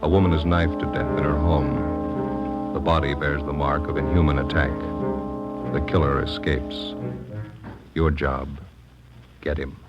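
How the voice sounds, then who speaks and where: A man's voice: a bass voice, flat delivery, a little slow, long pauses. A man with an American accent, reading a grave, terse, ominous opening to an old radio drama. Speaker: announcer. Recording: dull old radio recording, loud music. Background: loud music.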